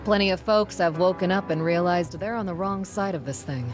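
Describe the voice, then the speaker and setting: A woman's voice: on the low side, fairly quick, faint music behind, natural voice. An actress as a folksy, spirited young rebel woman. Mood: earnest, defiant. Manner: folksy, plain-spoken, earnest. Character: spirited young rebel schoolteacher turned frontline quartermaster. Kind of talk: video game character dialogue.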